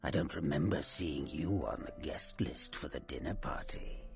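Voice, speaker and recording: A man's voice: rumbling low, normal speed, creaky, faint music behind. A man playing a sly, taunting Nosferatu vampire and faded film star in a video game, theatrical and teasing, often dropping into a menacing whisper. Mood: sinister teasing. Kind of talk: video game character acting.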